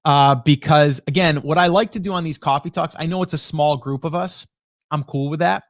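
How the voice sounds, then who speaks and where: A man in his late forties speaks in a fairly high register on a close, very dry broadcast microphone. He hosts a live stream as a coach, chatting informally and addressing viewers directly with frequent check-ins. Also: normal speed, no echo. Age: late 40s. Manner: conversational, greets viewers by name, rhetorical check-ins. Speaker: host, online marketing coach. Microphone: close broadcast dynamic microphone.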